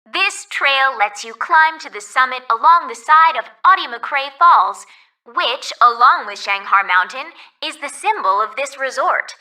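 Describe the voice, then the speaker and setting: A woman's voice: high-pitched, animated, unhurried, bright, forceful tone, full character voice. A woman playing a polite, cheerful resort ferry guide in a video game, reading scripted tour announcements, recorded slightly distant. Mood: cheerful, informative.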